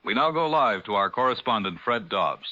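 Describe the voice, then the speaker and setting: A man playing a grave, middle-aged 1940s radio news anchor, formal and measured, through an old radio filter. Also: on the low side, very animated, bright, forceful tone. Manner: formal, measured newsreader delivery. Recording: dull lo-fi vintage radio broadcast.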